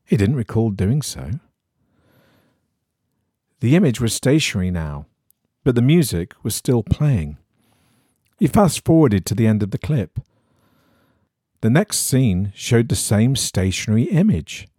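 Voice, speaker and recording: A man reading an eerie horror story in a measured, suspenseful storytelling manner. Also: on the low side, warm tone, slightly rough, no echo, studio quality. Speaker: story reader.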